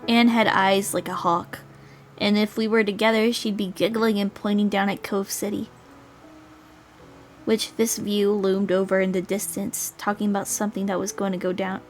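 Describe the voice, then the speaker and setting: A woman's voice: medium pitch, little pitch movement, normal speed, soft background music. A woman streamer reading a visual novel aloud, mixing story narration with casual asides.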